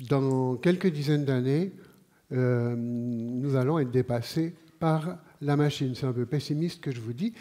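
A man in his sixties from Switzerland with a Swiss French accent, giving a conference talk on a large auditorium stage with a headset mic, speaking in a measured, unhurried way with pauses and fillers. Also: on the high side, dark tone.

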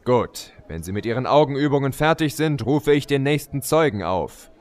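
A man's voice: medium pitch, lively intonation, moderate pace, lightly acted. A man playing a formal, easily exasperated judge keeping order in a comedy courtroom audio play.

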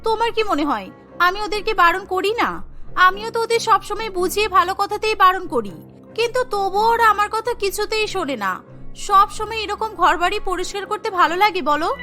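A woman's voice: extremely high pitch, a little fast, pushed and bright, soft background music. A woman voicing a tired young housewife who complains about the endless housework. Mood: complaining. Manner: complaining, exasperated.

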